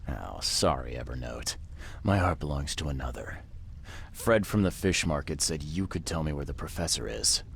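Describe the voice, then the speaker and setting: An actor playing a world-weary private detective in a video game, with a dry, blunt, laconic noir delivery. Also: male voice, rumbling low, animated, normal speed, creaky, husky, exaggerated voice. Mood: wry.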